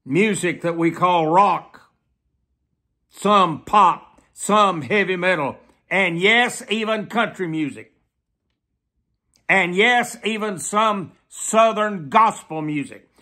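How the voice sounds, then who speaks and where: An elderly man in his seventies preaching a solemn warning, deliberate, repeating phrases for emphasis. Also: high voice, bright, forceful tone. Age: 70s. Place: small plain room indoors.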